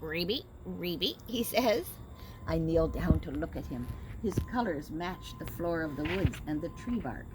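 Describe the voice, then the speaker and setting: Expressive woman reading a picture book aloud. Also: low voice. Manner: gentle, expressive, slows down for young listeners. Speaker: teacher reading to children. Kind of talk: storybook read-aloud for kids. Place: at home with her dog nearby. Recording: home recording with clear background noise.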